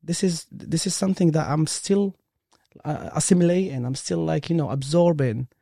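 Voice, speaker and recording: A man's voice: fairly high pitch, steady tone, normal speed, creaky, mellow, dry recording, studio quality. A man with a Moroccan accent and Arabic as his first language, telling his own story casually and earnestly in an interview, peppered with "like" and "you know". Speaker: interview guest, performance coach.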